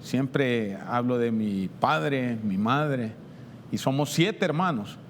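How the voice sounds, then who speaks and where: A man with a Salvadoran accent giving an informal personal testimony in a halting way, repeating words and pausing. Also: mid-range voice, expressive, a little hiss or hum. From San Salvador, El Salvador.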